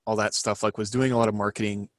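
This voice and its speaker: A man's voice: fairly low pitch, level tone, fast talker, no room sound, studio quality. A man who writes books, asking questions and chatting casually as a podcast co-host. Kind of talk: casual interview chat.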